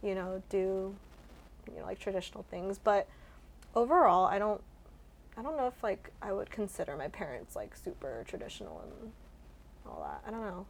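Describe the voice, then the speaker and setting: A woman's voice: medium pitch, big pitch swings, normal speed, poor audio. A young woman from California who grew up with Korean as her first language. She talks in an easygoing, slightly hesitant way. Her sentences are loose and full of small fillers. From California, United States. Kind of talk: casual conversation.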